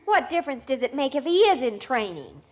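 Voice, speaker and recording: An actress playing an indignant, melodramatic teenage daughter in a 1950s radio sitcom. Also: female voice, high voice, big pitch swings, fairly quick.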